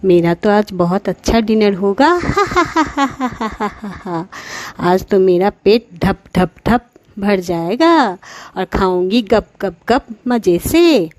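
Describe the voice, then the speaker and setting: Children's cartoon villain: woman as a greedy, gleeful witch, slow and gloating, dry recording. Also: expressive, dry recording. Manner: gloating, with high-pitched cackles. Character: gleeful greedy witch eager to cook her captives.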